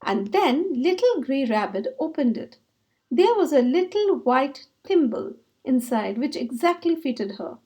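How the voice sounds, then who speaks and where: A woman's voice: high voice, very animated, a little slow, dark tone. A woman narrating a children's book read aloud as a storyteller.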